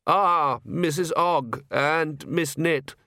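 A man's voice: a little high, drawn-out words, creaky, pushed and bright, dry recording, studio quality, voice pushed up, heavily acted. A man voicing a nervous, awkward young priest who stammers and talks with hesitant politeness.

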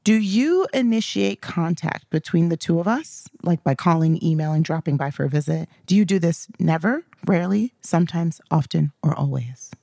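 A woman's voice: on the low side, animated, normal speed, vocal fry, hardly any pauses, dark tone, no room sound, clean studio sound. A woman hosting a podcast in casual chat with a friend, often laughing as she talks. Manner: laughs often while talking. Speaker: podcast host.

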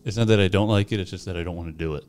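Low-voiced teasing young man. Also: darkish tone. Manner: teasing, pushy with quick follow-up questions. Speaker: podcast co-host. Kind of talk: casual chat.

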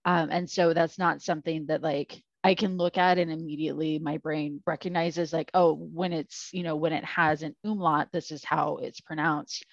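A woman's voice: low-pitched, steady tone, moderate pace, vocal fry, few pauses, no room sound, lo-fi sound. A woman co-hosting a podcast in casual chat, rambling with lots of fillers. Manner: rambling, filler-heavy, self-deprecating. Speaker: podcast co-host.

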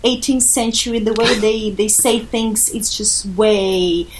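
High-voiced woman, chatting informally. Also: steady tone, measured pace, low-quality recording. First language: Russian. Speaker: podcast guest. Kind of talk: casual conversational interview.

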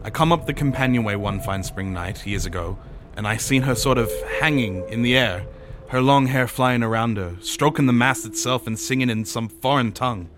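A man's voice: medium pitch, normal speed, background noise, voice pushed up, natural voice. A man voicing superstitious sailors telling ghost tales in awe, with rustic yarn-spinning speech, for an audiobook. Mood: awed reminiscing. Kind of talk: audiobook character dialogue.

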